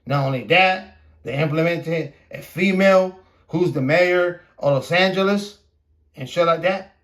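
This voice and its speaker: Slow, high-pitched, profane male podcaster, native Spanish speaker. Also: a bit bright. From Puerto Rico. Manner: profane, blunt, repetitive, halting. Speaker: podcast host. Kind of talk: opinionated casual monologue, rant.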